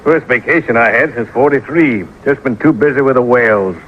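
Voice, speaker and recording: A man's voice: mid-range voice, a little fast, close to an everyday voice. A male radio actor playing a rich, naive Texan oilman with a folksy drawl and rustic grammar. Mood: folksy, eager. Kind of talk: old-time radio acting. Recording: muffled, noisy old radio recording.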